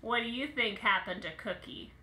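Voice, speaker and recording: A woman in her thirties reading a picture book aloud to young children in an expressive, exaggerated storytelling way, recorded on a phone in a room at home. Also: a little high, moderate pace, echoey room, low-quality recording.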